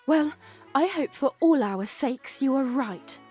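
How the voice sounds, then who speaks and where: A high, bright young princess in a fantasy audio drama, earnest and quick to worry, teasing her knight with a questioning lilt. Soft music plays under her lines. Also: animated.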